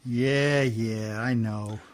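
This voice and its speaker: An actor playing a scrappy, folksy working-class riverboat skipper in a radio play, with plain, ungrammatical speech. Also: male voice, mid-range voice, fairly even intonation, drawn-out words. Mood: evasive, subdued.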